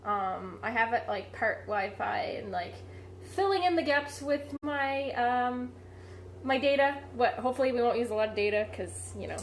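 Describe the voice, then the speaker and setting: Casual stream host, female, fairly high voice, congested and a touch nasal, rambling chatter. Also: animated, moderate pace, slight background noise. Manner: chatty and rambling, many fillers and self-corrections. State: stuffy, slightly nasal from a cold. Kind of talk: casual live-stream chat while cooking. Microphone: low-set streaming mic.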